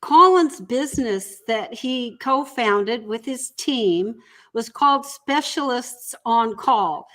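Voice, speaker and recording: Slow, higher-pitched woman, deliberate. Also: animated, fairly bright tone. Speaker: interview guest and project team leader. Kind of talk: conversational, explanatory.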